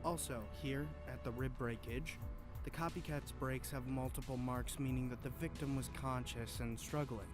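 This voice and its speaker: Visual novel lead: sardonic, prickly forensic examiner male actor, mid-pitched, dry and precise, music clearly behind. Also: fairly even intonation, normal speed, natural voice. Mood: clinical, irritated.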